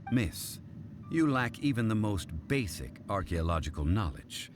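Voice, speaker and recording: A man voicing a calm, dignified game character who speaks formally and holds people to contracts. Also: on the low side, background noise. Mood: probing. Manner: formal, measured, old-fashioned speech. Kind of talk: video game voice acting.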